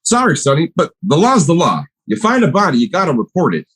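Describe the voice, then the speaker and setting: A man's voice: a little high, wildly swooping pitch, fairly quick, fairly bright tone, breathy edge, heavily acted, poor audio. A man playing a plainspoken, matter-of-fact milkman and part-time partner in a radio play. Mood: deadpan.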